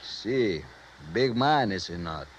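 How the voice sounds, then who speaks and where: An actor playing a self-important, excitable police sergeant with a Mexican accent and theatrical broken English. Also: male voice, lowish voice, slow pace. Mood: grave, boastful. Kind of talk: 1950s radio drama acting. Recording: dull, noisy old radio recording.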